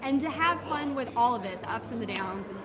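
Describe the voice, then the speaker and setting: A woman's voice: fairly high pitch, expressive, brisk. Bubbly woman amid crowd chatter. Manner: bubbly, enthusiastic. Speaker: comedian and online video creator. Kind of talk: casual chat on a livestream.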